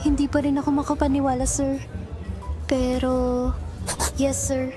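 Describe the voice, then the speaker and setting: A woman playing a lively young female assistant. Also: high voice, measured pace. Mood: earnest. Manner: bright, chatty and teasing. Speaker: lead character and narrator. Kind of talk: audio drama acting. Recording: music bed under voice. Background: romantic background music.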